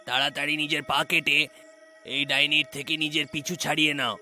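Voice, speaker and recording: A man's voice: fairly high pitch, flat delivery, normal speed, pushed and bright, faint music behind. A man voicing an alarmed, insistent villager in a cartoon horror story. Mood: stern, urgent. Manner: urgent, insistent. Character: alarmed village man urging drastic action.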